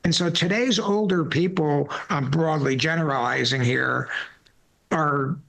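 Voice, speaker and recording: A man's voice: on the high side, measured pace, creaky, fairly bright tone, low-quality recording. A man in his sixties hosting a podcast, telling stories in a loose, rambling way with repeated words and folksy asides. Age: 60s. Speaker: podcast host. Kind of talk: casual conversational interview.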